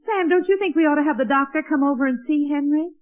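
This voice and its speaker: Lady doing a doting fretful mom, old time radio comedy, high proper voice, thin tinny sound. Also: quick pace, natural voice. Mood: fussing. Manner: motherly, fussing, proper. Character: fretful, doting middle-aged mother. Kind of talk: 1940s radio sitcom acting. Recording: tinny band-limited old radio recording.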